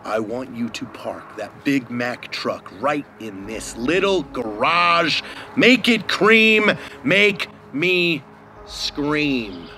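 A man in his thirties giving a deliberate, mock-dramatic reading of rap lyrics. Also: mid-range voice, animated, bright, forceful tone, slight background noise. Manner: deliberate, theatrical delivery. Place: outdoors among trees. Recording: music under the voice. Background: music.

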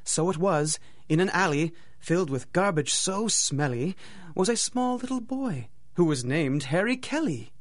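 A man's voice: fairly high pitch, expressive, a little slow. A man narrating a radio drama in a theatrical, dramatic storytelling manner.

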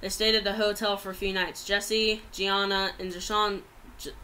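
A woman's voice: medium pitch, flat delivery, moderate pace, pushed and bright, a little hiss or hum, rough recording. A young adult woman reads a written story aloud plainly, stumbling over some words, in a small room at home on a laptop microphone. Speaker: amateur writer reading own story.

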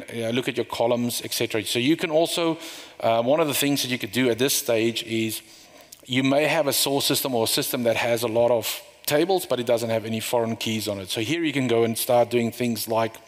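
A middle-aged man giving a conference talk, walking through a live software demo in a loose, rambling way with fillers and restarts. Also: medium pitch, a little fast. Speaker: conference speaker. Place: conference room. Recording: long reverb of a hall.

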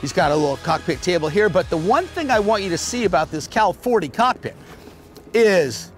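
Middle-aged male host, upbeat casual boat-tour delivery, a bit high, faint music under. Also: big pitch swings, moderate pace. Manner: enthusiastic, talks while pointing and moving. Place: aboard a sailboat in a marina, on deck and below.

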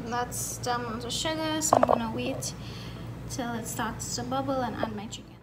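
A woman's voice: fairly high pitch, normal speed, loud music behind the voice. A woman in her twenties with a Trinidadian accent, casually narrating a home cooking vlog as she works. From Trinidad and Tobago. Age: 20s. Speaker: vlogger. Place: home kitchen, indoors. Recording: noisy kitchen, distant microphone.

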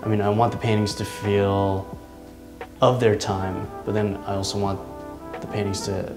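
A man in his thirties speaks slowly and thoughtfully, hesitating and restarting as he searches for words. His voice sits low and stays flat, with little rise or fall. The room around him rings with echo, and soft music plays underneath. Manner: thoughtful, halting, many ums and restarts. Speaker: artist. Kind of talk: reflective interview talk. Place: large indoor art studio. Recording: echoey studio room.

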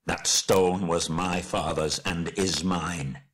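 A male narrator performs a proud dwarf king from a fantasy storybook. The voice is husky and low, with an imperious, bitter delivery full of wrath. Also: steady tone, slow, a bit bright, exaggerated voice. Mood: proud, defiant.

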